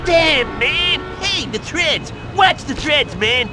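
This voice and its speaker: An actor playing a jittery, excitable American street informant who talks in animated 1970s slang in a game. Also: male voice, extremely high pitch, slow pace, music in the background, heavily acted. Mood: excitable, wheedling.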